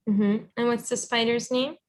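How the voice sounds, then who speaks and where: Higher-voiced woman teaching through a read-aloud. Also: fairly even intonation, normal speed, a little hiss or hum. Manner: encouraging, instructive. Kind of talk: storybook reading with guiding questions.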